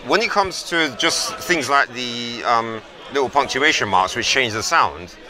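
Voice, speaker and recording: A man's voice: mid-range voice, lively intonation, a little slow, low-quality recording. A man questioning his opponents in an outdoor street debate, probing with stacked questions and stammered restarts. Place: outdoor public speaking area. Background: crowd of onlookers.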